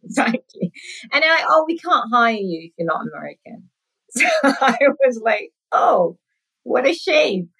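A woman's voice: a little high, big pitch swings, a little slow, bright, forceful tone, breathy edge, poor audio. A woman in her forties, from Sudan with a British accent, chatting as a podcast guest and laughing often. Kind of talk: conversational interview.

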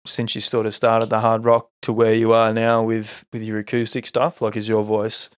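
A man's voice: fairly low pitch, monotone, moderate pace, clear, resonant tone, no echo. A man chatting casually as an interviewer, in an easygoing, slightly tentative and agreeable way. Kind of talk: casual chat.

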